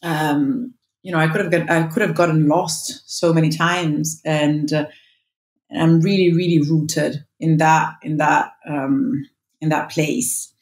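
Low-voiced Italian woman on a video call. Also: fairly even intonation, slow, reverberant. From northern Italy. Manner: reflective, many restarts and fillers. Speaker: guest. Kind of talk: casual interview chat. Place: home room. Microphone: earbuds on video call.

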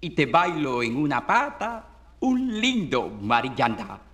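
A man's voice: on the high side, very animated, a bit bright. A man performing a dialect recitation as a doting mother soothing her little boy, tender and crooning, with a Caribbean Spanish accent. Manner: tender, crooning, drawn-out baby talk. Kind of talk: theatrical dialect poetry recitation.

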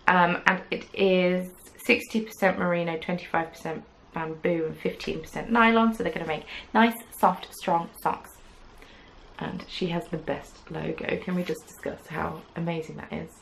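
Gravel-edged woman chatting about yarn. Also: on the low side, normal speed, slight background noise, poor audio. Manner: chatty, rambling, many "um"s, playful asides. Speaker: knitting podcast host. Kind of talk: casual chat to camera. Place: small indoor craft room with yarn shelves. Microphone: camera at desk distance.

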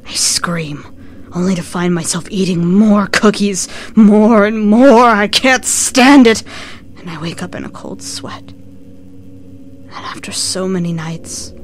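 A woman playing a haunted, sleepless narrator going mad, reading a horror story in a breathy, halting, melodramatic, near-whispered delivery. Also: mid-range voice, close to an everyday voice. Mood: rising panic. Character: haunted, sleepless narrator sliding into cookie-obsessed madness. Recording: noisy, with eerie ambient music behind. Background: slowed, eerie game soundtrack music.

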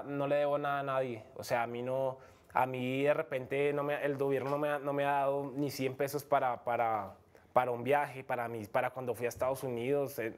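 A young man from Colombia with a Bogotá accent, an MMA fighter speaking frankly as a guest in a studio interview, full of fillers and restarts. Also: medium pitch. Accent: Bogotá Colombian Spanish. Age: young adult. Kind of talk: casual interview talk. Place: TV studio set.

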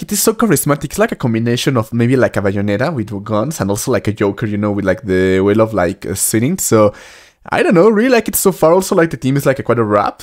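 A man in his twenties, a native Spanish speaker, hosting a casual reaction vlog in an enthusiastic, halting, informal way. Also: medium pitch. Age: 20s. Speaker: host of a reaction channel. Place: small furnished room at home. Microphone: close desk microphone, headphones worn.

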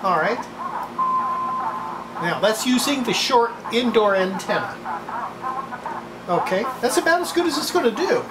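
A woman's voice: a little high, expressive, unhurried, long pauses. An older woman gives casual, matter-of-fact hands-on narration, talking while she works as a restorer demonstrating repairs. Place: indoor home workshop. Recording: roomy workshop, loud sanding and rubbing noise.

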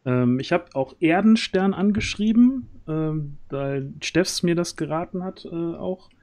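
A man's voice: fairly high pitch, animated, unhurried, a little mellow. A man in his thirties hosting a casual livestream chat from a small room at home, speaking into a close microphone. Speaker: host of a role-play audio drama project stream. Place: small room at home, on a group video call. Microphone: close boom-arm studio mic, headset.

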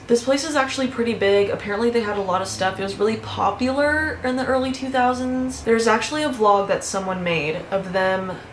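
A woman in her twenties telling stories to camera in a casual vlog, chatty and animated, with frequent swearing and laughter, recorded in her bedroom. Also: mid-range voice, reverberant, a little hiss or hum, poor audio. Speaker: YouTuber. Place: bedroom with fairy lights.

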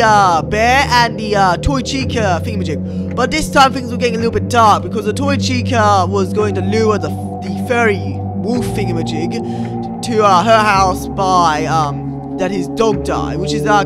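A young man hosting a gaming video with casual, hesitant, rambling commentary. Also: very high-pitched.

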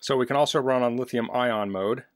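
A man's voice: mid-range voice, a little fast. A man casually demonstrating a product in a plain-spoken, practical, explanatory way, recorded indoors. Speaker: product reviewer. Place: basement, indoors.

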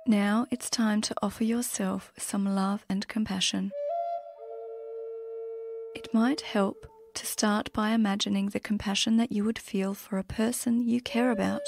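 A woman leading a guided meditation in a slow, gentle, soothing manner with long pauses. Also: medium pitch, steady tone, creaky, music in the background.

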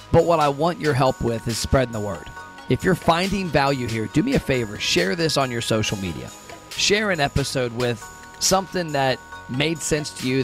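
A man with a mid-pitched voice reads a scripted break, appealing directly and cheerfully to his listeners. Faint music plays under his voice. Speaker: podcast host. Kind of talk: scripted promo read.

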